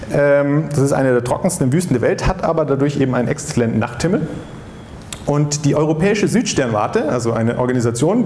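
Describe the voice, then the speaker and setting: A man's voice: medium pitch, a little mellow. A man in his forties gives a popular science lecture off the cuff as a scientist, often repeating and rephrasing his words, on a headset mic on a stage. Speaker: astrophysicist giving a public lecture. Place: stage of a dark theatre with seated audience. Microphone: headset microphone. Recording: roomy stage sound with background noise.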